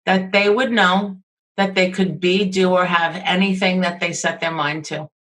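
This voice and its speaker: A woman's voice: lowish voice, steady tone, normal speed, fairly bright tone. A middle-aged woman from Queens with a New York accent, chatting as an interview guest and telling stories in a friendly way. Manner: friendly, storytelling with acted quotes. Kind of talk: casual interview chat.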